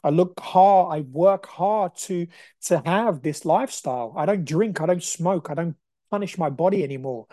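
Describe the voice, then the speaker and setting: A man's voice: a little high, moderate pace, dark tone, no echo, clean studio sound. A man in his forties from Brazil, hosting a podcast with an emphatic, repetitive, motivational way of talking. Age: 40s. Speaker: podcast host. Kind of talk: motivational monologue.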